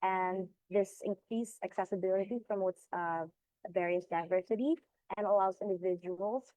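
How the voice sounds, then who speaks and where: A woman in her twenties from Indonesia, an undergraduate student, giving a careful, measured prepared speech with filler pauses. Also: medium pitch, steady tone, mellow, no room sound. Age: 20s. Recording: tinny phone-like recording.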